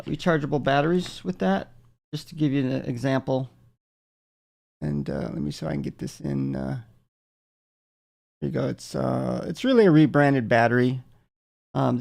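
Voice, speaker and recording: A man giving a casual, unscripted product demonstration in a gravelly voice, halting often with filler pauses. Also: a little high, a little mellow. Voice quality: gravelly, creaky. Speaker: product reviewer.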